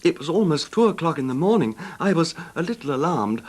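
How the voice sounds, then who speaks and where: An actor playing an older wealthy English husband, haltingly recounting his betrayal in an anguished, formal manner in a radio drama. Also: on the high side, sing-song, darkish tone. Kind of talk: 1970s radio drama acting, narrating a confession. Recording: noisy 1970s radio drama recording.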